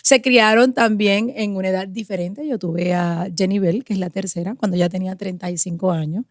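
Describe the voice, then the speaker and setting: A woman's voice: mid-range voice, steady tone, fairly quick, no room sound. Earnest woman, dry close sound. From Puerto Rico. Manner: earnest, emphatic, lists with building rhythm. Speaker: guest, pastor and mother. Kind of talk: conversational interview answers.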